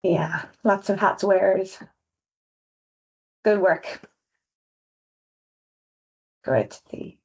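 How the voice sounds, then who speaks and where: A woman with an Irish accent, a visual designer presenting survey results on a webinar in a quick, chatty way. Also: medium pitch, fairly even intonation, darkish tone, breathy edge. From Ireland. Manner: fast-talking, chatty, self-aware. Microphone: video call. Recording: dull lo-fi webinar audio.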